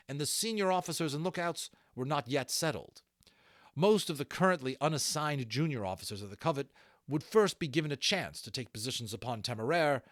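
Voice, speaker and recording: A man narrates a storybook with lively, swinging intonation. His delivery is expressive, and the sound is dry and close. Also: medium pitch, dry recording. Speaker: audiobook narrator. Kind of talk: expressive storybook narration with brief asides to listeners.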